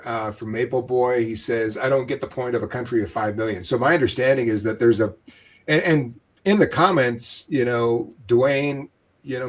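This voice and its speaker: A man from western Canada co-hosting a casual live town hall, reading viewer comments and hedging his points with "you know.". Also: mid-range voice. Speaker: livestream co-host.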